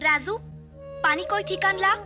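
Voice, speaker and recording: A woman voicing a bright, caring village girl in a children's cartoon, earnest and explaining, with a Bangladeshi accent. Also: very high voice, moderate pace, over loud music. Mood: determined. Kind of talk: children's educational cartoon acting.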